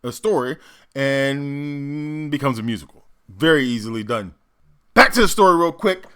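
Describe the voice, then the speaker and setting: A man giving casual, theatrical reaction commentary as an actor and host, animated and demonstrative. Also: medium pitch, normal speed, smooth, soft background music.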